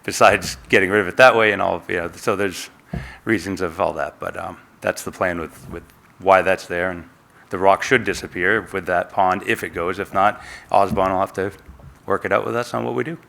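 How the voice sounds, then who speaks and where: A low-voiced man at a town meeting explains his plans for his land in a plain, unpolished way. He pauses often, filling the gaps with "um" and "you know" and restarting sentences as he goes. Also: steady tone, creaky, fairly bright tone. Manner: halting, many fillers and restarts. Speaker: applicant landowner. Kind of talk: informal explanation at a public hearing. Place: town hall meeting room.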